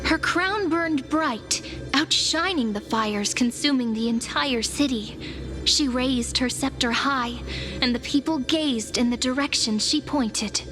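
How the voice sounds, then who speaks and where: High-voiced, unhurried storyteller companion. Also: female voice, over music. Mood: solemn narration. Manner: measured, theatrical. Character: storyteller companion, young female, teasing, knowing, gentle guide. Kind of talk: scripted storytelling, game voice acting.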